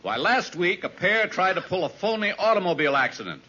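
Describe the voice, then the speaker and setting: A man's voice: high-pitched, big pitch swings, fairly quick, sharp tone, full character voice. A man playing a polite, upright insurance official in an old radio comedy, speaking formally and emphatically. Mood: firm. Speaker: sitcom actor. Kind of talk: 1940s-50s radio comedy acting. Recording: muffled, noisy old radio recording.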